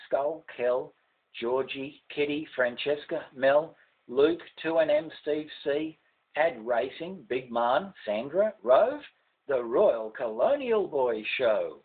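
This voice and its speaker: A man's voice: fairly high pitch, husky, phone-quality sound. An older man with an Australian accent reciting rhymed ballad verse with theatrical, emphatic phrasing. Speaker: amateur poet reading own verse.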